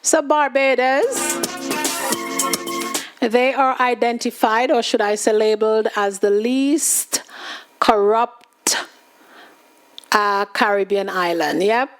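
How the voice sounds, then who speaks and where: A woman hosting a show, reading news items in an unhurried way and adding casual asides and fillers. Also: on the high side. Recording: music playing loudly behind.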